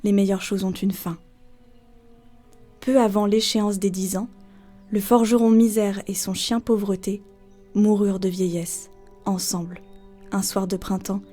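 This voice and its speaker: A woman's voice: medium pitch, warm tone. A woman narrating a literary folk tale as a scripted storyteller.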